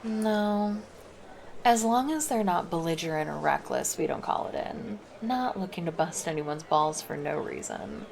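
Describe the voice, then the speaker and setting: A woman's voice: on the low side, expressive, unhurried, gravelly, darkish tone, slight background noise. A woman voicing a laid-back, flirty female lifeguard in an ASMR roleplay, chatting casually and teasing. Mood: easygoing.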